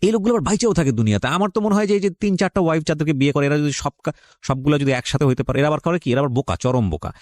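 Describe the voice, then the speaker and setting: A man's voice: on the high side, racing, a little mellow, dry recording. A male radio host with a Bangladeshi accent gives unscripted moral commentary in an emphatic, lecturing way, mixing in English phrases.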